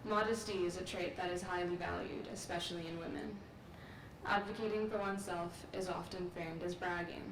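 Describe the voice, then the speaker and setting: A young woman from Sri Lanka giving a measured, earnest graduate speech at an outdoor commencement through a podium microphone. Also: on the low side, fairly even intonation. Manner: measured, earnest, reflective delivery. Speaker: graduate student speaker. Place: large outdoor courtyard ceremony. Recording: echoey, distant, with noise.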